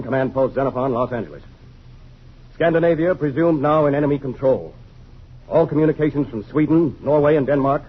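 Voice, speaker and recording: An actor playing a formal, commanding, grave army general in an old-time radio drama. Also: male voice, on the high side, breathy edge. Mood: solemn. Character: authoritative male army general briefing officials gravely. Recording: dull vintage radio recording, faint noise, crowd.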